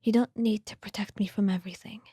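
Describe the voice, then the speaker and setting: A woman voicing a gentle, reassuring companion in a slow, breathy, soothing ASMR roleplay whisper. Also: mid-range voice, steady tone, no room sound, studio quality, lightly acted. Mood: fond, calm.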